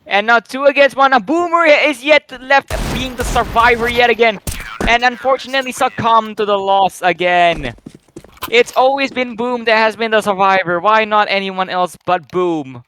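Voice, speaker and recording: High-voiced man, excitable game caster. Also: normal speed, slight background noise. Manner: excitable, rambling, filler-heavy play-by-play. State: slightly croaky voice. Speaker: esports caster. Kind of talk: live play-by-play game commentary.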